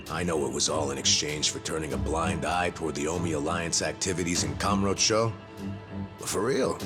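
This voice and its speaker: An actor playing a sardonic, determined older disgraced cop in a video game drama, with a folksy, taunting way of talking. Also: male voice, sits low. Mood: taunting, confident. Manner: taunting, folksy, drawn-out delivery. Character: gruff, sardonic older discharged male cop, smug and determined.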